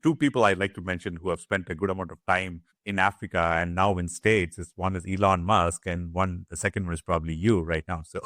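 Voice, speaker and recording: A male host with a low, deep voice puts questions to his guest. He halts often and fills the gaps with "uh" and "like". The recording is dry and close. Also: steady tone, a bit bright, no echo. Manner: halting, many fillers. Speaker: podcast host. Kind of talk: interview questions.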